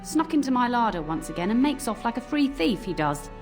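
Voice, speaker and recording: An actress playing an irascible, bossy castle cook in a fantasy video game, scolding and blustering. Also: female voice, a little high, sing-song, a little fast, faint music behind. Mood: grumbling.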